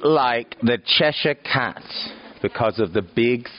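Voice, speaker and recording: A man's voice: medium pitch, lively intonation, takes it slowly. A man, a stage actor, giving short, simple answers to audience questions. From Manchester, England. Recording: dull lo-fi sound.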